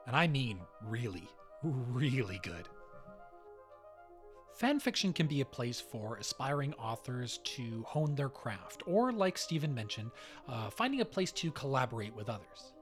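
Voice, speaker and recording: A man's voice: medium pitch, big pitch swings, a little slow, soft background music. A man hosting a podcast, reading scripted narration. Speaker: podcast host.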